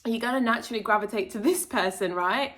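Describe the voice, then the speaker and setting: A woman's voice: fairly high pitch, fast, fairly bright tone. A woman hosting her own channel, talking casually and earnestly to camera about spiritual self-improvement, rambling a little with fillers and self-corrections. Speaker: channel host.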